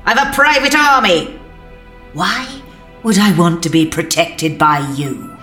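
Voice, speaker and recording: A woman's voice: on the low side, lively intonation, takes it slowly, a bit bright. A woman playing a haughty, indignant alien leader held captive in an audio drama, making demands. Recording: echoey cell, music underneath.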